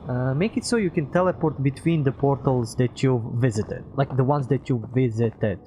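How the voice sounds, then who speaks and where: A man's voice: mid-range voice, normal speed, noisy background. A man giving casual live video game commentary as a streamer, thinking aloud, muttering to himself and swearing freely. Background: music.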